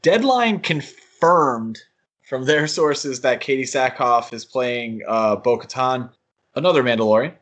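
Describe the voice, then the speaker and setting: A man's voice: mid-range voice, animated, a little slow, a bit bright. Man chatting as a podcast co-host. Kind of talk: casual podcast discussion.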